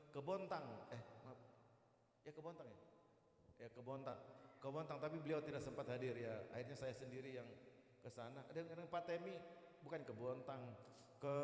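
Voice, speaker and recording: Echoey man preaching informally. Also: medium pitch. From East Kalimantan, Indonesia. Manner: chatty and jocular, with repeated "gitu" tags. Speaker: guest evangelist and preacher. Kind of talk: informal church sermon. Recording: echoey hall, long reverb.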